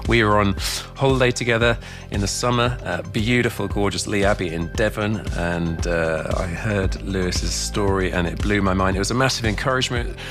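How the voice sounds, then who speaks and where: Deep-voiced male podcast host. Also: normal speed, over music. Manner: enthusiastic, warm-hearted welcomes. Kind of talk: podcast interview.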